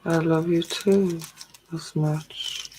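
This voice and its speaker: A tired woman hosting a tarot livestream, chatting casually with her viewers in a slow, drowsy, calming way. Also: low voice, slight background noise.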